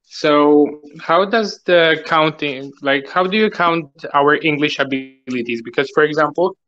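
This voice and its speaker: A man's voice: fairly high pitch, a little slow, fairly bright tone, dull audio. A man from Poland with a Polish accent, speaking English as a second language, asking a careful question on a video call. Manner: careful, searching for words. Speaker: webinar attendee.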